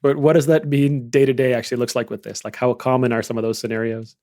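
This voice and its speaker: Quick-talking male podcast host. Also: medium pitch, little pitch movement, mellow, dry recording, studio quality. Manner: curious, conversational questioning. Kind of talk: casual interview chat.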